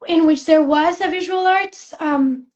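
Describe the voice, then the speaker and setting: A young woman from Spain, a fashion student, chatting in an interview, soft-spoken with many fillers and restarts. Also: very high-pitched, measured pace, highs cut off. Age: young adult. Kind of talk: casual interview chat.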